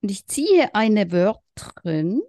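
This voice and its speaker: A woman's voice: medium pitch, sing-song, takes it slowly, dark tone. A woman co-hosting a podcast, chatting playfully and giggling often. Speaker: podcast co-host. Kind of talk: casual chat.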